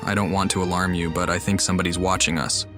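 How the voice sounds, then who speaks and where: Low-voiced guy commenting on his game, wry and suspicious, talks to the characters. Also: little pitch movement, fast talker. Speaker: gamer. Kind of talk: let's play commentary. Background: game music.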